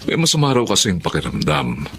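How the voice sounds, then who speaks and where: A kindly middle-aged boss in a Filipino radio drama. His low voice swings widely in pitch as he talks casually and haltingly, with faint ambient noise. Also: wildly swooping pitch, fairly bright tone, heavily acted. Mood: good-natured. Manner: casual, halting, good-natured. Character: easygoing, kindly male boss of a young worker.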